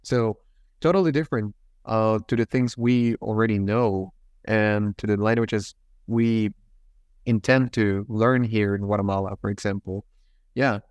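A male podcast host asks his guest long questions that wander and repeat themselves. His voice is fairly low. The recording is dry and close, with no room sound. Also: fairly even intonation, unhurried, a little mellow, clean tone, no room sound. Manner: hesitant, rambling questions with repeated words. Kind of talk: interview questions.

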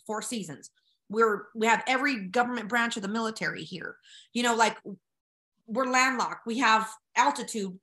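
A woman's voice: medium pitch, sharp tone. An American woman from Colorado talking casually and with strong opinions as an interview guest, brash and slangy in her straight talk. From Colorado, United States. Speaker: podcast guest.

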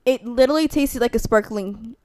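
A woman's voice: on the high side, brisk, a little mellow, smooth. A young woman co-hosting a casual podcast chat, rambling with lots of filler words. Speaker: podcast co-host.

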